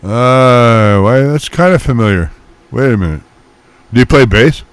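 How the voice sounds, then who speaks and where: Guy on cb radio just shootin the breeze, slangy storyteller, dry sound, middling pitch. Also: animated, unhurried, dry recording. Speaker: musician chatting on CB radio. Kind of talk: casual radio chat.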